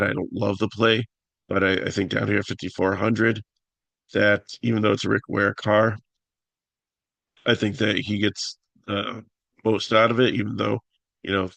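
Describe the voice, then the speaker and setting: Raspy low-voiced man, flat and gravelly. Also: no echo. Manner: halting, many fillers and restarts. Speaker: fantasy sports analyst host. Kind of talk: casual solo picks talk.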